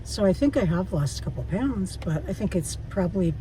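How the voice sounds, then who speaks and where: A woman with a raspy voice, chatting frankly to her viewers while driving, swearing freely. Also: lowish voice, animated, fairly quick, warm tone, recorded from a distance, rough recording. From Canada. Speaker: vlogger. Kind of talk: casual chat while driving. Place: inside a car. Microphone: phone or camera mounted in the car. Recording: car and road noise behind.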